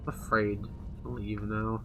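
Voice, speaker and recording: A man's voice: lowish voice, level tone, measured pace, background noise. A man giving casual, offhand, musing commentary while he plays a video game. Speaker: gamer commentating on his play. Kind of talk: casual let's play commentary. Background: game music.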